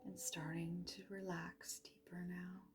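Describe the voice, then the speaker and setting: A woman leading a guided meditation in a soft, breathy half-whisper, gently and with long pauses. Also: lowish voice, level tone. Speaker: meditation guide, yoga teacher. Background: music.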